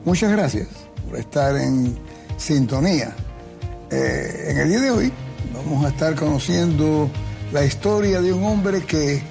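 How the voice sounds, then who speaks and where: Man, pastor host, raspy gravelly voice, friendly short questions, faint music behind. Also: on the high side, animated, measured pace, dark tone.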